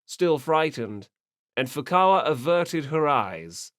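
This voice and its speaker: A man's voice: fairly high pitch, sing-song, slow, fairly bright tone, no echo, clean studio sound. A man reading scripted story narration as an audiobook narrator.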